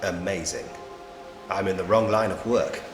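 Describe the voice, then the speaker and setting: A man's voice: low voice, steady tone, normal speed, close to an everyday voice. A man playing a genial Victorian police detective with a British accent in a ghost story game, polite, quaint and dryly humorous. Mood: musing, dry. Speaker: player character in a point-and-click ghost story game. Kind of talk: video game voice acting. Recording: echoey reverberant hall sound with music. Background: music.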